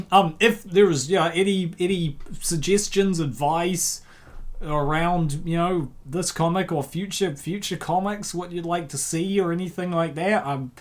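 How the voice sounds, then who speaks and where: A mid-pitched voice hosting and narrating a comic dub. The delivery moves between storybook-style narration and casual, jokey asides that tend to ramble. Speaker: host, narrator and comic dubber.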